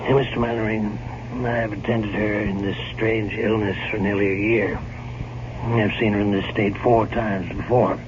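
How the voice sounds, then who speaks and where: Male actor as blunt, foreboding physician; deliberate, emphatic radio-drama delivery, muffled old recording. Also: on the low side, little pitch movement, close to an everyday voice. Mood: grim, resigned. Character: grave male family doctor, blunt, foreboding. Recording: dull, noisy vintage radio recording.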